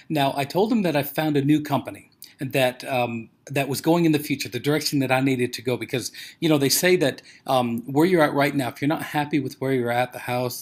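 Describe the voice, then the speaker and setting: An American man presenting in a casual motivational sales talk, with a conversational, rambling storytelling manner. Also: mid-range voice. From United States. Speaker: presenter of a company overview.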